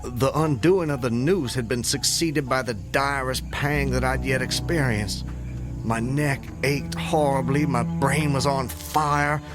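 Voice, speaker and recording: An actor playing a condemned man in a radio drama, solemnly and intensely recounting his hanging in formal, deliberate, literary language. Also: mid-range voice, close to an everyday voice. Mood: anguished. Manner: formal, deliberate, vividly descriptive. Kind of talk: radio drama acting, literary first-person storytelling. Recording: music under the voice. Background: background music.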